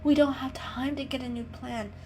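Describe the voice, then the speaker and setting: A woman's voice: high-pitched, fairly even intonation, moderate pace, a little mellow, slight background noise, pitched above the performer's own voice. A woman voicing a determined, caring female cat in a storybook reading, earnest and coaxing. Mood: worried, insistent.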